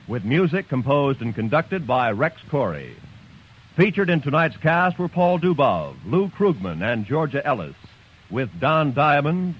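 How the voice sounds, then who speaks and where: A male radio announcer reading credits in a crisp, formal, projected way. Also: medium pitch. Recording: dull vintage 1950s radio recording.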